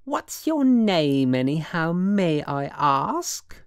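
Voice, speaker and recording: A woman's voice: on the low side, expressive, slow pace, smooth, no room sound, studio quality, voice pushed up. A woman voicing a brassy working gal in an audiobook, slangy and suspicious, with affectedly genteel airs. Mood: coy, haughty.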